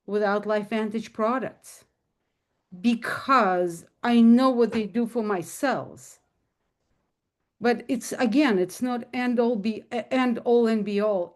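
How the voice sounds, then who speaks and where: A woman with a Russian accent, speaking casually to an audience on a video call, earnest and emphatic, often repeating herself. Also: a little high. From former Soviet Union. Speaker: guest speaker.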